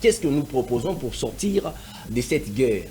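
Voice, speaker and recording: A man's voice: medium pitch, sing-song, a little slow, dark tone. A man from DR Congo speaking as a panelist in a televised debate, emphatic, repeating phrases for stress with many hesitant fillers.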